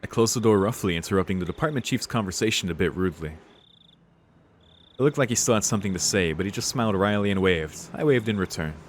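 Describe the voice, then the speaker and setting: A man's voice: lowish voice, expressive, quick pace, slight background noise. A man doing casual let's play commentary in a laid-back, slangy way. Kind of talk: casual gaming commentary, reading subtitles aloud. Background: game music.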